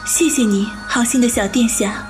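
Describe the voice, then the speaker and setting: A young lotus spirit maiden voiced by a woman, gentle and wistful. Her voice sits high, in audio drama style, with music playing clearly behind her. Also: normal speed, natural voice. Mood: tender, sad. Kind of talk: Chinese audio drama acting.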